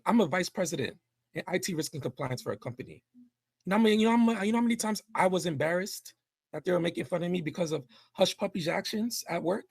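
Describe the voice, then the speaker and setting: A man's voice: fairly high pitch, gravelly, husky, no room sound. A man arguing indignantly in an online debate, emphatic and repeating himself. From New York City. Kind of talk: heated debate.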